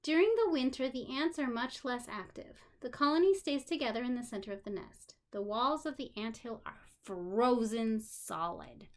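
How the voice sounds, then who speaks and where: A woman teacher reading aloud to young children in an expressive manner, pausing for simple explanations and questions. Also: fairly high pitch. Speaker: special education teacher.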